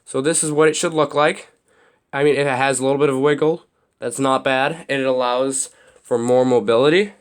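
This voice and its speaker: Slightly raspy young man explaining casually. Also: medium pitch, fairly even intonation, fairly quick. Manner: loose, rambling explanations with many restarts and fillers. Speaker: hobby video host. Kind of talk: casual how-to demonstration.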